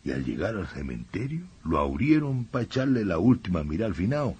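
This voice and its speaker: Folksy Chilean male storyteller, lowish voice, hugely varied intonation, radio-drama narration. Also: brisk, slightly rough, heavily acted. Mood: folksy, ominous. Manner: colloquial, expressive, folksy. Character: folksy male storyteller telling a devil legend.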